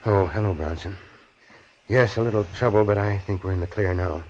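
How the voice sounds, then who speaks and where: A man's voice: on the low side, brisk, darkish tone, natural voice. An actor playing a driven, earnest male surgeon in 1940s radio drama, with a commanding manner. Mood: brisk, relieved. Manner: urgent, commanding. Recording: muffled, noisy vintage radio recording.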